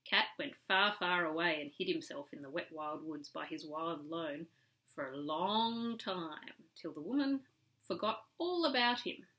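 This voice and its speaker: A woman reading a children's story aloud as a storyteller, in an animated, emphatic manner. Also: low voice, unhurried, low-quality recording. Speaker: storyteller reading to a young relative.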